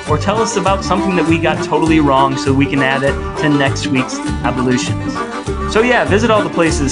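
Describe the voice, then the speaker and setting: Male podcast host, slightly high voice, casual chat delivery, music clearly behind.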